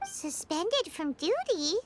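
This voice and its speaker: A woman voicing a tiny, curious, childlike companion creature in a video game, with a squeaky, chirpy voice. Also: sing-song, slow, a little hiss or hum, full character voice. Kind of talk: scripted video game dialogue. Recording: background music under the dialogue.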